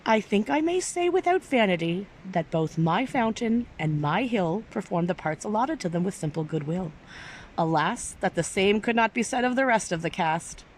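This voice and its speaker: A woman's voice: mid-range voice, lively intonation, moderate pace. Woman reading a storybook, noisy recording. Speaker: storyteller reading aloud to an audience. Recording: clearly noisy background.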